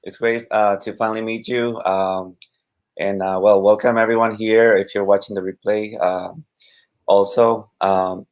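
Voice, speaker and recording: A Costa Rican man in his thirties talks about teaching Spanish on a casual live stream. His voice sits fairly low. He speaks haltingly, with plenty of fillers and restarts. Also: level tone. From Costa Rica.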